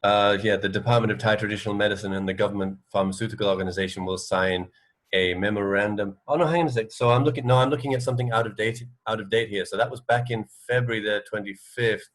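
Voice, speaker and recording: A man's voice: lowish voice, steady tone, fairly bright tone. A man co-hosting a casual radio discussion, choosing his words tentatively and hesitantly. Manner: hesitant, tentative, measured wording. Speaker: radio talk co-host.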